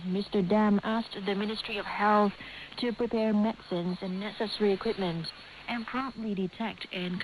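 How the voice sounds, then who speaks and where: Hissy shortwave radio, woman, Vietnamese accent, medium pitch, scripted news reading, presenter. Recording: noisy hissing shortwave radio broadcast.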